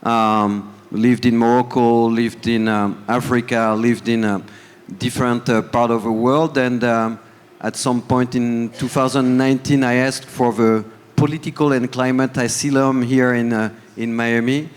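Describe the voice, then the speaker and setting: A man with a French accent talks casually on a summit panel, making wry jokes at his own expense. His voice sits at a middle pitch. It rings out with a long reverb in a large conference hall. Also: flat delivery, unhurried, smooth. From France. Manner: self-deprecating, joking. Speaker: panel guest, entrepreneur running for office.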